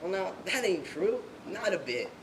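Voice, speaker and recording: A low-voiced performer playing a shy, kind-hearted country man who stammers hesitantly in a stage play. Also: sing-song, normal speed, background noise. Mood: bashful, earnest.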